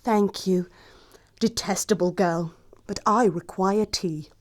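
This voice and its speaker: A woman's voice: medium pitch, takes it slowly, natural voice. Woman playing a haughty upper-class English young lady. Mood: icily polite. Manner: pompous, precise, disdainful. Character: haughty, snobbish young society lady, cuttingly polite. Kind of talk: stage comedy acting in an audio play.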